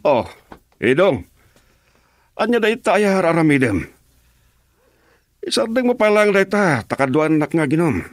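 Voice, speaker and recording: A man's voice: a little high, big pitch swings, unhurried, pauses often, no room sound. A man playing a teasing fellow henchman who drinks and mocks his friend.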